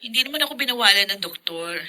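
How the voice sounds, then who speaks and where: A woman's voice: a little high, lively intonation, quick pace, pushed and bright. A woman playing a cheerful pregnant young daughter in a radio drama, chatty and respectful. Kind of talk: Tagalog radio drama acting.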